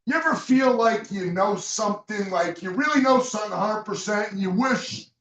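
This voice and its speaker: A man giving a loud, emphatic motivational sales speech to a roomful of guests, sometimes shouting to drive a point home. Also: high-pitched, animated, normal speed, dull audio. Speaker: business leader speaking at a recruiting event. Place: meeting room with an audience. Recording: echoey room, mic from far off.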